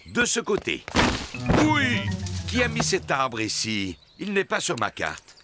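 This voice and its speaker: An actor voicing a jolly, confident, bumbling daddy pig in a children's cartoon, with a jovial, self-assured manner. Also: male voice, fairly high pitch, huge pitch swings, takes it slowly, loud music behind the voice, full character voice. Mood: exuberant. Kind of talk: children's cartoon dub acting.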